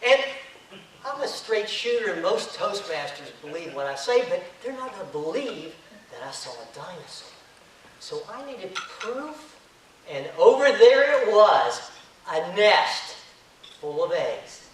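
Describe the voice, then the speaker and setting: A man's voice: sits high, measured pace, a little hiss or hum. A man telling a tall tale as a contest speaker, acting out the action with big swings in his voice. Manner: animated storyteller, acting out the action. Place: hotel conference room. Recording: echoey room, recorded from far off.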